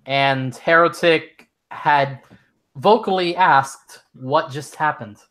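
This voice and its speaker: A man's voice: a little high, a bit bright. Young man running a tabletop game. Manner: halting, many fillers and restarts. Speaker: game master. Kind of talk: casual tabletop game narration.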